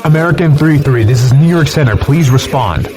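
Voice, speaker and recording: A man's voice: fairly high pitch, fairly quick, a crowd in the background. A man playing a firm air traffic controller in an audio drama, speaking formally over a radio. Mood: firm, urgent. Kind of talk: formal radio procedure acting.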